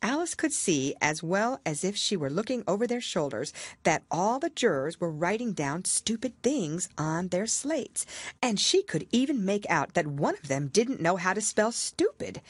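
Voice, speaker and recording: Husky woman reading a storybook, lively pitch. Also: moderate pace, no room sound. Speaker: audiobook reader. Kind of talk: expressive scripted storybook narration.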